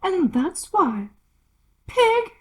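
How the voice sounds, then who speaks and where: A woman voicing a rude, bossy, violent-tempered Duchess with a hoarse, growling, emphatic delivery. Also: extremely high pitch, very animated, pitched above the performer's own voice, heavily acted. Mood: brusque. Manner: curt, loud, drawn-out emphatic delivery.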